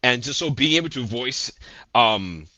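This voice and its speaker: A man talking as a guest voice actor in a casual interview, animated and rambling with lots of fillers. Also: medium pitch, unhurried, no echo, highs cut off.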